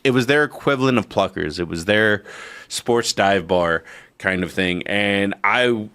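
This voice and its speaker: A man's voice: on the low side, unhurried, bright, forceful tone, smooth. A young man chatting casually as a podcast co-host, laughing often, swearing freely, and restarting his sentences. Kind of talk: casual chat.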